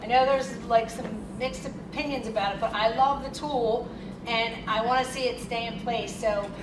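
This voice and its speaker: A woman with a Philadelphia accent giving a lively, conversational keynote talk at a conference. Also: a little high, normal speed. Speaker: keynote speaker. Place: conference hall. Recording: echoey hall, distant mic, noisy.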